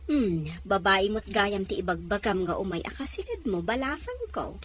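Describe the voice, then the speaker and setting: A woman acting an older Ilocano landlady, nosy but motherly, with a husky voice. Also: mid-range voice, sing-song, normal speed, fairly bright tone, a little hiss or hum. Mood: nosy, approving. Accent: Filipino, Ilocano.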